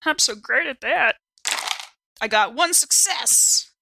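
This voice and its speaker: A woman chats as a player at a tabletop game in a high, bright voice. Her pitch swings freely through casual, playful table talk. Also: lively intonation, takes it slowly, gravelly, a little hiss or hum.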